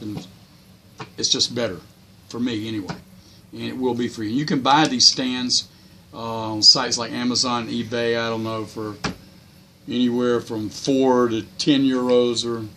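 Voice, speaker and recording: An older man talks off the cuff like a hobby video host, folksy and plain-spoken. His voice is bright and sits at a middling pitch. He rambles casually, with clear noise behind him. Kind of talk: casual unscripted tips talk.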